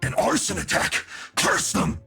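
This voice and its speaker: A woman playing an angry enemy fighter in a video game, rallying comrades in a rough, gravelly shout. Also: a little slow, soft background music. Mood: furious. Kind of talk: English video game voice acting.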